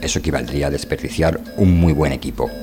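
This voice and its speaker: A man acting a calm, inquisitive physicist from an anarchist world in a radio drama, speaking in a measured, questioning way. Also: extremely low pitch, music in the background. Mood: thoughtful, questioning.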